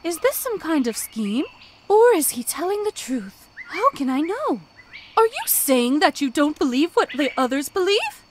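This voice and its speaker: A woman voicing a big, wary female ostrich with hurt pride for a children's animated story, questioning and challenging. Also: sits high, unhurried, a little hiss or hum, pitched above the performer's own voice. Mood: suspicious.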